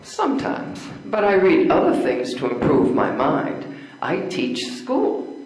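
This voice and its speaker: In an echoey room, an older woman plays a blunt spinster schoolteacher for a stage reading. Her voice is low for a woman, with emphatic, widely swinging intonation. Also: wildly swooping pitch, takes it slowly, darkish tone, heavily acted. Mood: opinionated. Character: opinionated spinster schoolteacher, a suffragist, bluntly advising. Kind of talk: amateur readers theater acting.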